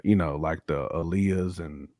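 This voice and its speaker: A man's voice: low voice, a little slow, dark tone, dry recording. A man on a podcast panel giving casual opinions in slangy, rambling speech, repeating himself for emphasis.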